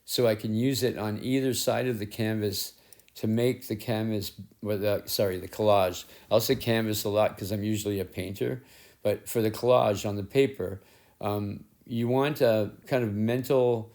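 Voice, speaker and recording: A middle-aged male artist with a rough, raspy voice, casually narrating his work in a rambling, self-deprecating way with frequent restarts. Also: lowish voice, steady tone, normal speed, darkish tone. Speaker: collage artist and painter. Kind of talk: casual narration while working. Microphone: head-mounted action camera.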